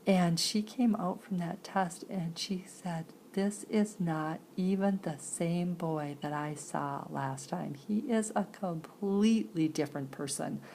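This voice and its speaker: Woman, lowish gravelly voice, conversational personal storytelling in halting phrases, faint background noise. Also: mellow. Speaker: sign-to-voice interpreter.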